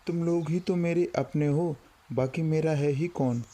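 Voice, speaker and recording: A man playing a kindly, bright-voiced village schoolmaster. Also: a little high, fairly even intonation, unhurried. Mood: gentle, reassuring. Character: kindly village schoolmaster nearing retirement, caring and generous. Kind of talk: Hindi cartoon story dialogue.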